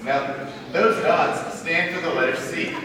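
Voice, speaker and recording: A man's voice: a little high, a little slow, close to an everyday voice. A man voicing a friendly, patient boy puppet explaining things in a children's puppet show. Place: large indoor room, audience behind. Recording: very echoey large hall, distant, crowd noise.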